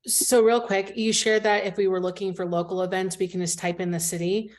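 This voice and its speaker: A woman member asking a quick, unsure question over a video call. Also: mid-range voice, flat delivery.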